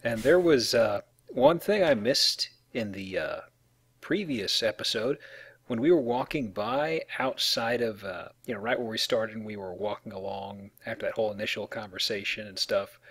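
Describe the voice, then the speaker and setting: A man's voice: fairly low pitch, a bit bright, slightly rough. A man giving casual, rambling game commentary with hesitant self-corrections. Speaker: let's play commentator.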